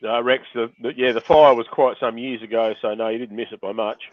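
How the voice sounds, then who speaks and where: A man's voice: medium pitch, steady tone, no room sound, muffled recording. A man co-hosting a livestream, teasing and joking as he reads out viewer comments. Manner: teasing, joking, quick to laugh. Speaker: livestream co-host. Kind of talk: casual banter reading viewer comments.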